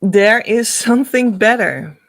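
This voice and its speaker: A woman with a Dutch accent, a spiritual coach talking slowly and loosely to her viewers, with fillers and laughs. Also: on the high side, animated, dry recording. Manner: slow, meandering, with frequent laughs and fillers. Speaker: twin flame coach.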